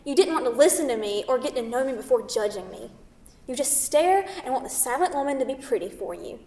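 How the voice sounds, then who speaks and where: A high female voice rings from far off in an echoey hall. It is an actress as an indignant, sarcastic young woman in a stage comedy, scolding with sharp emphasis. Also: expressive, a little fast. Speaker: actor in a staged play reading. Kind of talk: staged reading of a comedic two-person play. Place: theatre stage, picked up from a distance. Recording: echoey theatre hall, distant microphone.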